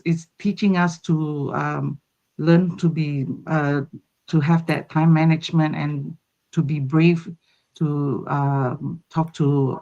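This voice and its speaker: Woman guest, gravelly, very slow, nervous, halting. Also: low-pitched, a little mellow, dull audio. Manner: nervous and halting, many fillers. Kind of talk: casual group chat.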